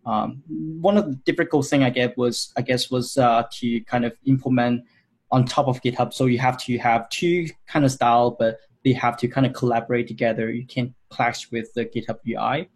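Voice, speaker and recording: A man's voice: mid-range voice, steady tone, normal speed. A man in his thirties from Hong Kong, answering interview questions about his design career in a loose, rambling way with many fillers. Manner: rambling, frequent fillers and restarts.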